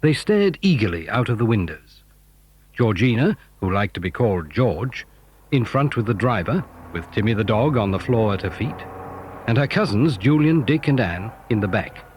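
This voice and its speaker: A man narrating a scripted children's adventure story in an animated storytelling manner. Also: lowish voice, normal speed, a little hiss or hum.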